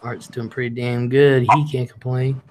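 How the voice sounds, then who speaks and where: A man's voice: medium pitch, level tone, moderate pace, very noisy. A man hosting a live call-in show, teasing and flirting with callers and laughing often. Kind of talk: casual flirty call-in chat.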